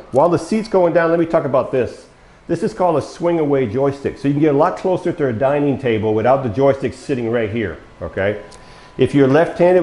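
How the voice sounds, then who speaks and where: An older man walks viewers through a product demo in a plain, unhurried way, calling them folks as he goes. His voice is mellow and dark, worn thin from a long day of talking. The big bare room gives it a noticeable echo. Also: mid-range voice. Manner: folksy, addresses viewers as folks, explains step by step. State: losing his voice, coughing. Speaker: mobility dealer demonstrating a wheelchair. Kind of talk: product demonstration talk. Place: large bare showroom studio, indoors. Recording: echoey room.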